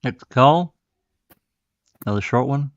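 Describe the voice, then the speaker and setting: A man's voice: medium pitch, lively intonation, unhurried, muffled recording, very noisy. A man hosting a livestream, giving casual commentary between replayed recordings. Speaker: livestream host.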